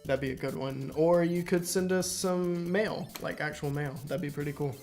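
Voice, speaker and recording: A man co-hosting a casual podcast, joking in a deadpan way. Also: fairly high pitch, normal speed, over music. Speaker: podcast co-host.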